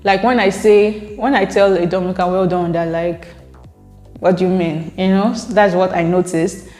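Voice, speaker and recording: A young woman with a Nigerian accent talks to her viewers in a relaxed, chatty way and explains her points at length. Her voice sits low for a woman. The recording is roomy, with a long reverb trailing each phrase. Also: normal speed, faint music behind. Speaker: vlogger reading viewer comments. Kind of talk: casual chat to camera. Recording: echoey room with long reverb.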